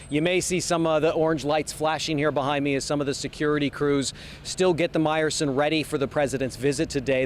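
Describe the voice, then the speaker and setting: A man reporting live as a television news field reporter from outdoors on a city street. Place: outdoors on a city street outside an event venue. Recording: outdoor street noise, traffic rumble.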